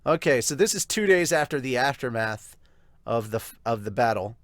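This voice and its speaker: An excitable man hosts a podcast and chats through a manga chapter. His voice sits at an ordinary pitch and he jumps up when something grabs him. The sound is dry and close to the mic. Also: normal speed, dry recording. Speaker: podcast host. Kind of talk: manga read-along and review.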